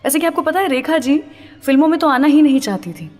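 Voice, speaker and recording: A woman's voice: sits high, sing-song, quick pace, darkish tone. Reverb-soaked woman radio host over music. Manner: chatty, addresses listeners directly. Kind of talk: radio presenting between classic film songs. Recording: long reverb, music playing behind.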